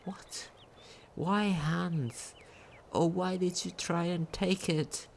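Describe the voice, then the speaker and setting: A game commentator with a creaky, mid-pitched voice over faint noise. The delivery is casual and unhurried, like someone reading on-screen text aloud, with occasional stumbles. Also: steady tone. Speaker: gaming video commentator. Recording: home recording with faint noise.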